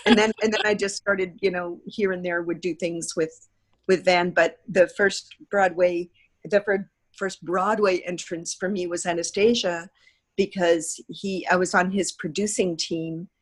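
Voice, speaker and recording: A woman's voice: lowish voice, fairly even intonation, unhurried. A woman speaking as a podcast guest in a casual interview, telling anecdotes in a chatty way and acting out remarks. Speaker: podcast guest, theatre producer. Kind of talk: animated storytelling in casual interview.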